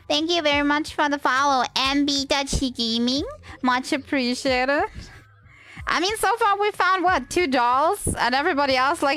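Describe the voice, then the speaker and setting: A woman's voice: sits high, pushed and bright. A woman livestreaming a game with casual, rambling commentary, playful and self-deprecating.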